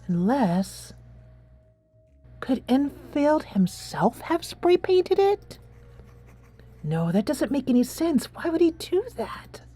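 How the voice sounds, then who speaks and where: A woman voicing a down-to-earth, skeptical high school girl from a game in a casual, questioning way. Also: sing-song, slow, pauses often, dark tone, full character voice. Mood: puzzled.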